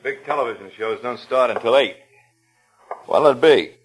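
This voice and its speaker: An actor playing a wary, tough-talking American bartender with a wry, clipped, needling manner in an old radio thriller. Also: male voice, medium pitch, moderate pace, slightly rough, soft background music, natural voice, low-quality recording. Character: suspicious, tough-talking New York bartender, wary of deadbeats. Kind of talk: mid-century American radio thriller acting.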